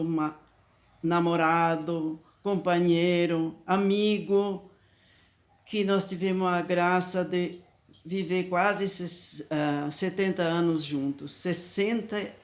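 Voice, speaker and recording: A woman in her late eighties with a Talian-influenced Brazilian accent, whose first language is Talian, telling her memories slowly and reflectively, often restarting words. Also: lowish voice, a little mellow. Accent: Italian-Brazilian Talian-influenced Portuguese. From southern Brazil. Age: 80s. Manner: slow, reflective, with frequent restarts. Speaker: storyteller. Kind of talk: personal memoir.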